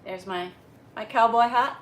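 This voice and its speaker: A woman's voice: a little high, measured pace, a bit bright, a little hiss or hum. A woman hosting a casual livestream, chatty and rambling as she talks with her viewers. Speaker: livestream host. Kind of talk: casual live chat with viewers.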